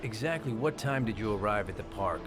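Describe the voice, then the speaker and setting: A man's voice: on the low side, expressive, brisk, lightly acted. An actor voicing a businesslike police lieutenant who questions a father firmly. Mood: brisk. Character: brisk male police lieutenant. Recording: game audio with music behind.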